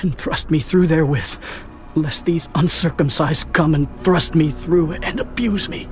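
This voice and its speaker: A man's voice: a little high, steady tone, measured pace, faint music behind, natural voice. An actor playing a wounded, desperate king in a scripture drama, anguished as he commands in archaic language. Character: wounded, desperate king ordering his own death.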